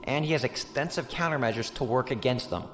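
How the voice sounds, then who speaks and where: An actor playing a candid, informal tactical analyst in a science fiction audio drama, explaining things casually. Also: male voice, mid-range voice, a little fast, fairly bright tone, slight background noise, natural voice. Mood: candid, explanatory. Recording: echoey room, faint background ambience.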